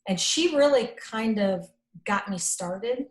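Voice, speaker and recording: A middle-aged woman, an executive telling stories in an interview, animated and full of fillers. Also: a little high, slow. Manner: animated, acts out quoted dialogue, many fillers; self-described strong voice, not shy. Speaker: interview guest, company executive. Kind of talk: casual conversational storytelling.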